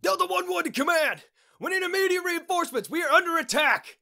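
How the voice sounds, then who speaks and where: A man playing a panicked young soldier under attack, shouting urgently in action game style. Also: extremely high pitch, animated, a little fast, gravelly, soft background music, heavily acted.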